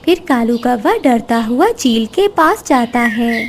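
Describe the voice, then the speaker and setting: A woman's voice: on the high side, animated, a little slow. A woman storyteller reading a scripted children's story narration. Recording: noisy track with bird sounds.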